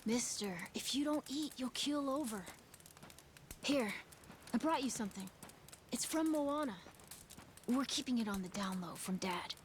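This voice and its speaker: A woman's voice: on the high side, lively intonation, unhurried, long pauses, a little hiss or hum. A woman voicing an earnest, eager young boy with a stubborn streak in a video game. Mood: secretive, concerned. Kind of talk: English video game dub acting.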